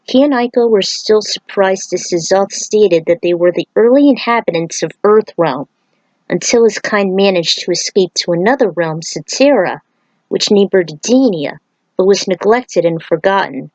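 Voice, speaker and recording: A woman's voice: medium pitch, expressive, normal speed, a little mellow, dry recording, poor audio. A woman reading a story aloud as narrator, occasionally stumbling over a word. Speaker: fan fiction reader.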